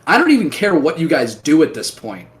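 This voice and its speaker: A man's voice: on the high side, wildly swooping pitch, a little fast, faint music behind. A man running a tabletop game, chatting casually with his players after a session, joking and swearing freely. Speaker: game master. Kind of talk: casual post-game chat.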